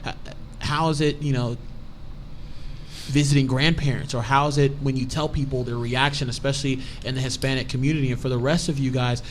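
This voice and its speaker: A man moderating a student panel, asking questions in a measured way. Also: mid-range voice, steady tone. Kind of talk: moderating a discussion. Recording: faint background noise.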